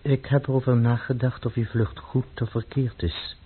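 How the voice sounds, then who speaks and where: An actor playing a stern, devout, grave poet in a radio play, speaking in a measured, formal way. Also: male voice, mid-range voice, level tone, breathy edge, close to an everyday voice. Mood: grave, earnest. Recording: muffled, phone-like old radio recording.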